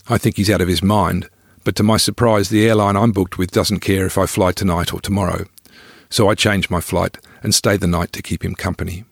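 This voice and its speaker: A man's voice: deep voice, gravelly, no echo, studio quality. A man reading his own scripted first-person travel memoir in a wry, understated, colloquial way.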